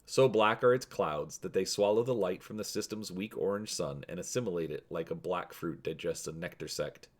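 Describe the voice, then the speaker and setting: A male host with a low-pitched voice narrates an adventure story. His delivery is that of a dramatic read-aloud from a storybook. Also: normal speed, hardly any pauses.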